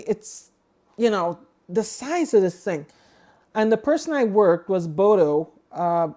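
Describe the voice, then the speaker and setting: A woman's voice: medium pitch, darkish tone. Woman casually presenting hobby news. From outside the United States. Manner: conversational, explanatory, thinking aloud. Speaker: co-host of a ham radio livestream. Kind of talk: casual news commentary on a livestream.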